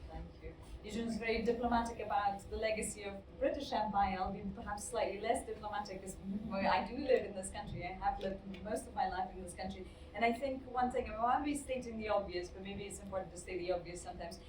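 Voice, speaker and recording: A woman moderating a panel discussion, measured and frank in her remarks. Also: medium pitch. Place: lecture hall. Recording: roomy, distant, noisy hall.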